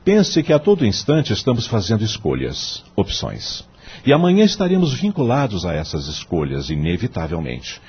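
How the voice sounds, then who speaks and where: Husky, deep-voiced wise professor. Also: male voice, huge pitch swings, highs cut off, exaggerated voice. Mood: earnest, didactic. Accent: Brazilian. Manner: didactic, measured, moralizing, rhetorical questions. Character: wise, kindly male law professor, fatherly mentor. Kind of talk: radio drama acting.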